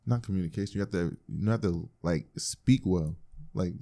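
A man's voice: sits low, normal speed, gravelly. Brash, profane young man. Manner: loud, profane, animated storytelling. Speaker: podcast co-host. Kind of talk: casual chat.

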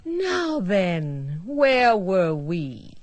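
A woman's voice: medium pitch, sing-song, drawn-out words, dry recording. An actress playing an enigmatic, composed female space traveler with an arch, knowing, polite manner in a radio drama.

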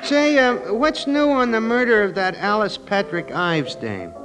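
An actor playing a good-natured, slow-witted, folksy bartender who rambles ungrammatically in a 1940s radio drama. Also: male voice, high-pitched, animated, poor audio. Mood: curious, chatty. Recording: old radio recording, organ music.

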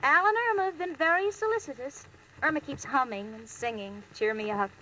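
An actress playing a sensible, exasperated young working woman with crisp theatrical delivery in 1940s radio comedy. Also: sits high, a little fast, bright, forceful tone. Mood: resigned. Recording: faintly noisy old radio recording.

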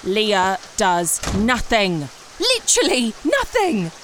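A woman's voice: medium pitch, wildly swooping pitch, slow, background noise. An actress in a comedy audio drama playing a wry, sardonic woman writer with a dry, exasperated manner.

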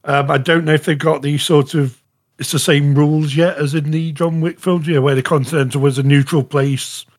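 A man chatting casually as a podcast panellist, halting and rambling, often saying "you know". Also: fairly high pitch, steady tone. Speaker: podcast panellist reviewing shows. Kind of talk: casual chat.

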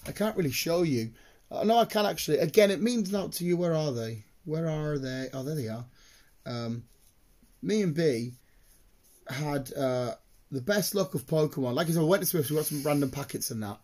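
A British man chatting casually in a rambling, excitable, sweary way, with a slightly croaky voice. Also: on the high side, normal speed. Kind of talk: casual chat, rambling anecdotes.

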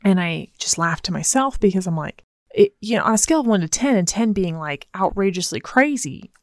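A woman speaking as a mother in casual chat, calm and measured, teasing gently and laughing softly. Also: mid-range voice, dry recording, studio quality. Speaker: mother, co-host.

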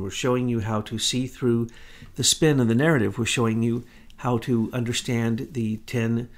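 A middle-aged man co-hosting a political commentary talk, talking fast and insistently. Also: mid-range voice. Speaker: co-host.

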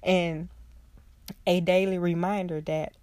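A young woman hosts her podcast alone, her nose stuffy and her voice congested from a cold. She speaks slowly and earnestly in a fairly low voice. The sound is dry and close. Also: little pitch movement, darkish tone, no room sound. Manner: earnest, heartfelt, slow and reflective. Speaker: podcast host, social worker. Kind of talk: casual solo podcast talk.

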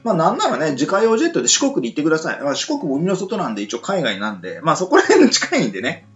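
A man's voice: on the high side, wildly swooping pitch, racing, a bit bright, highs cut off, soft background music. A man hosting a casual radio show in a chatty manner, tagging his phrases with frequent little particles.

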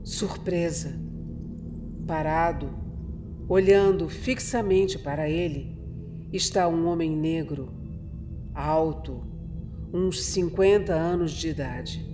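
A woman's voice: deep voice, slow, long pauses, over music. A woman narrator reading a scripted horror radio drama.

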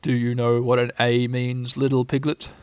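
A man voicing a gloomy, pompous old male donkey who talks slowly, solemnly and bitterly. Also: mid-range voice, completely flat delivery, darkish tone, clean tone, no room sound, close to an everyday voice.

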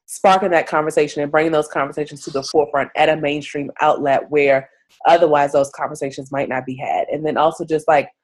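A woman's voice: sits low, fairly even intonation, fairly quick, darkish tone. Stuffy-voiced woman with a cold. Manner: frequent throat clearing; chatty, many "like" and "you know" fillers. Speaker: journalist guest. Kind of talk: casual interview conversation.

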